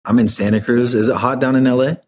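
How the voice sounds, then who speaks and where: A man's voice: medium pitch, steady tone, quick pace, darkish tone, a little hiss or hum. A man, talking casually as the host of a podcast interview.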